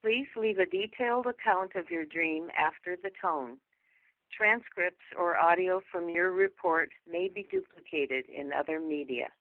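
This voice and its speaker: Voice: mid-range voice, measured pace, dry recording. A performer voicing a formal, impersonal automated hotline message through a telephone filter. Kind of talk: scripted answering machine message. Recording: tinny narrow phone line.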